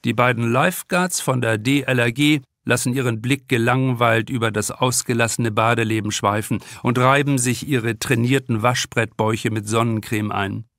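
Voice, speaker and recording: A man's voice: mid-range voice, moderate pace, few pauses, a bit bright, no room sound, studio quality. A man narrating a novel as an audiobook, reading scripted prose as its author.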